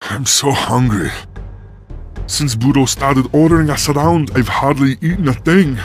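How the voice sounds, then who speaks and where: An actor playing a hungry, impatient enslaved miner in a video game, brash, eager and complaining. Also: male voice, medium pitch, moderate pace, faint music behind, lightly acted. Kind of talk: dramatic video game cutscene acting.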